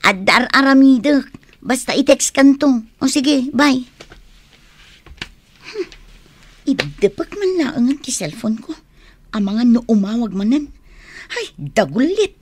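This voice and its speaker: A Filipino actress playing a conflicted young woman torn between suitors in a radio drama, with a husky voice. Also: high-pitched, very animated, takes it slowly, long pauses, slight background noise, full character voice. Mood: casual, preoccupied. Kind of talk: Ilocano radio drama acting.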